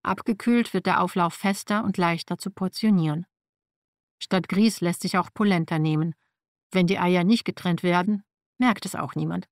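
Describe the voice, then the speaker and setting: A woman's voice: fairly low pitch, steady tone, moderate pace, no room sound, studio quality. A woman whose first language is Slavic reads a personal nonfiction text aloud in a wry, matter-of-fact way. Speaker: narrator.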